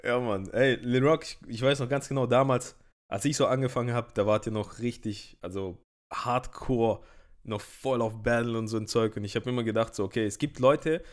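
A man's voice: mid-range voice, normal speed. A man hosting a podcast in loose, slangy casual chat, laughing often as he talks. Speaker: podcast host.

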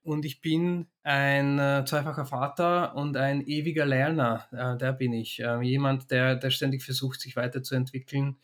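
A man's voice: mid-range voice, steady tone, normal speed, few pauses. A middle-aged man from Hungary speaks in a reflective interview. He lays out his ideas carefully in a mid-range voice, with small restarts and fillers along the way. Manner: thoughtful, explanatory, frequent restarts and fillers. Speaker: interview guest, consultant and startup founder.

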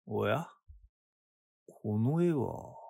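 An actor playing a blunt art patron in a radio drama, talking brusquely and colloquially, then narrating in a hushed voice. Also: male voice, mid-range voice, animated, very slow, creaky, dry recording, clean studio sound, full character voice. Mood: awed. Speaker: former patron of the painter. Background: background music.

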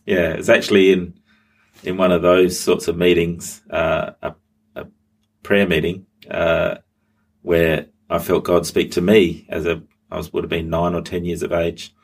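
A man's voice: deep voice, level tone, unhurried, slight background noise. A middle-aged man, a pastor, telling his story as an interview guest, hesitant with many ums and restarts. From Australia. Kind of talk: interview guest, personal testimony.

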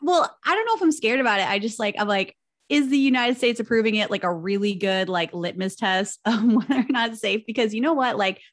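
A woman co-hosting a casual podcast, chatty and animated, laughing often. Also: a little high, brisk. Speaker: podcast co-host.

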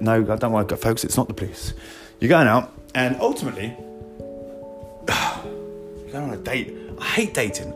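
A British man casually hosting his own podcast, rambling, joking at himself and swearing freely. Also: mid-range voice. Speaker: podcast host. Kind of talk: casual solo podcast chat.

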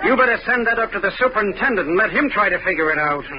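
An actor playing a confidently mistaken office clerk who explains things with assurance, in 1940s radio comedy style. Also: male voice, sits high, expressive, racing, loud music behind the voice. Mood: assured. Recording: muffled, noisy old radio recording.

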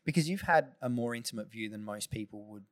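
A man hosting a podcast, asking questions in a relaxed, conversational way. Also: on the low side, fast talker. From Australia. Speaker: podcast host. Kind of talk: casual interview.

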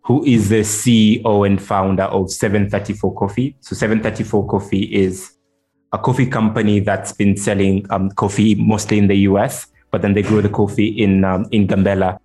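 Low-pitched man hosting an interview. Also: little pitch movement, normal speed, mellow, faint music behind. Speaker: show host, interviewer. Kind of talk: podcast introduction and interview.

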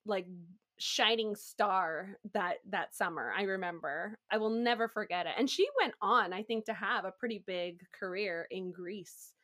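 A woman's voice: mid-range voice, a little slow, fairly bright tone. Chatty, giggly woman in casual talk. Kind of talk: casual chat.

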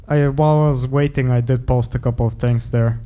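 A man's voice: medium pitch, steady tone, a little fast, warm tone, smooth. A young man from Bulgaria, a guest artist in a casual interview, talking in a modest, hesitant way that often trails off. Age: young adult.

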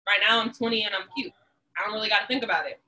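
Woman, twenties, podcast guest, frank, emphatic, bright. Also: medium pitch, racing, low-quality recording. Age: 20s. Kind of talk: casual chat.

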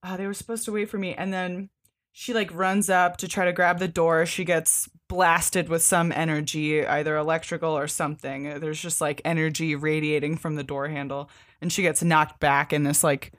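A woman with a fairly low voice talks as a podcast co-host. Her delivery is informal and keen, with casual swearing, as if chatting about comics with a friend. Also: flat delivery, moderate pace. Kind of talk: casual comic book discussion.

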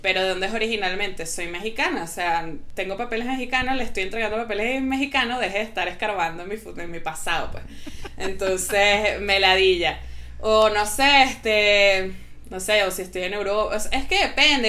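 A woman's voice: mid-range voice, brisk. A Venezuelan woman with a Venezuelan Spanish accent, chatting casually and telling stories full of mimicked voices and laughter. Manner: animated storyteller, mimics other accents, laughs often. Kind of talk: casual chat.

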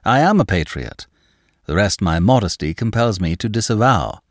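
A man's voice: fairly low pitch, sing-song, moderate pace, dry recording. A man voicing a witty young lawyer who answers coldly and with dry irony. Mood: cool. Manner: cold, ironic. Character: cool, witty young lawyer, dryly sarcastic.

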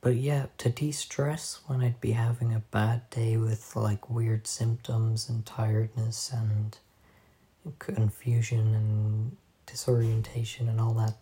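A hoarse man hosting a casual solo podcast at home, soft-spoken and halting, recorded on a phone voice memo. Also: lowish voice, level tone. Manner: soft-spoken, halting, drifting off mid-sentence. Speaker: podcast host.

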